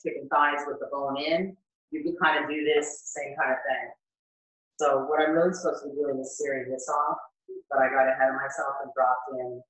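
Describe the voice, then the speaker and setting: A man's voice: fairly high pitch, fairly bright tone. An American man in his fifties presents a cooking demonstration in an informal, chatty way. He thinks aloud as he works. His voice is picked up from a distance in a very reverberant kitchen. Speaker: chef hosting a cooking demonstration. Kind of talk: casual live cooking demonstration. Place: home kitchen, indoors. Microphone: camera a few metres away. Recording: very echoey kitchen, distant microphone.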